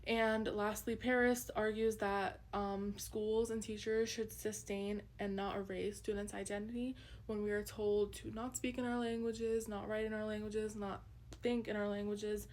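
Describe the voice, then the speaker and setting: A woman's voice: medium pitch, flat delivery, normal speed. A young woman whose first language is Urdu, earnestly presenting a class project with frequent ums. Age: young adult. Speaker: student presenter.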